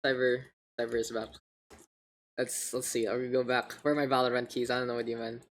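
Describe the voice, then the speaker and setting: A man with a gravelly voice hosting an esports livestream, mixing casual slangy chat and game commentary. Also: medium pitch, flat delivery, fairly quick. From Philippines. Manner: informal, gamer slang, joking asides. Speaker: esports caster, streamer hosting the match. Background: video game sounds and announcer.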